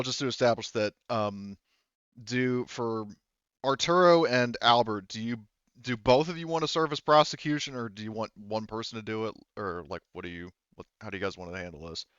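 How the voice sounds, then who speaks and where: A man running a tabletop game session as game master, narrating informally and haltingly with frequent self-corrections. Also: lowish voice, a bit bright, clean tone, dry recording, muffled recording.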